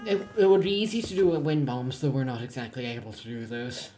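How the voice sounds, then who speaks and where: A man's voice: medium pitch, animated, fast, mellow. A young man chatting casually as he plays a co-op video game, thinking aloud hesitantly. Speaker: gamer playing co-op on a shared controller. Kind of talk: casual gameplay chat. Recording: roomy, clearly noisy home recording.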